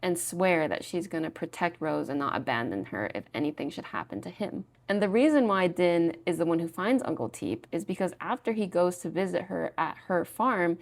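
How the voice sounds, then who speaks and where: A woman's voice: lowish voice, lively intonation, creaky. A woman recapping a book and its drama adaptation in a chatty, casual way, often saying "like" and acting out short quoted lines. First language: not Thai. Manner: chatty, peppered with "like", acts out quick quoted lines.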